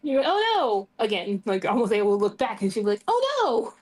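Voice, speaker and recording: A woman's voice: mid-range voice, big pitch swings, a little fast, a little mellow. A woman playing a tabletop game, chatting casually and expressively, with little acted-out asides. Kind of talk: casual, reactive game chat.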